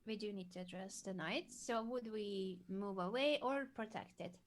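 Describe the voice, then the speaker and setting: Female chess coach, patient, leads with questions, mid-pitch voice. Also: moderate pace. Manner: patient, asks guiding questions.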